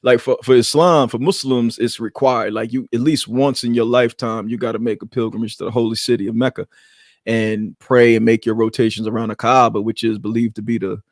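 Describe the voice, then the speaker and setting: A man's voice: mid-range voice, flat delivery, brisk, no room sound, clean studio sound. A man who grew up speaking Arabic, co-hosting a podcast and telling stories from his upbringing in a loose, reflective way. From Queens, New York. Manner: reflective, loose storytelling with repeated restarts. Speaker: podcast co-host. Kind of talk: casual podcast conversation.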